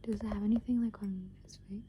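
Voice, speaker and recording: Woman, 20s, ASMR creator. Hushed whispered rambling, casual and meandering, with clear background noise. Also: mid-range voice, fairly even intonation, fairly quick. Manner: casual, meandering, thinking aloud. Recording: clearly noisy background hiss and ambience.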